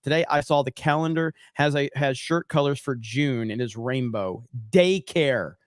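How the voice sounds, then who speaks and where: A man hosting a casual livestream, reading viewer messages and shout-outs with energy while his voice is starting to go and crack from overuse. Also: medium pitch, moderate pace, no room sound, clean studio sound. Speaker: host.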